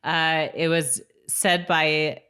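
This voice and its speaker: A woman with a notably low voice co-hosts a rewatch podcast. Her talk is casual and conversational, with an informal feel. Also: level tone, drawn-out words, sharp tone, smooth. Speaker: podcast co-host. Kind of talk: casual chat about a TV rewatch.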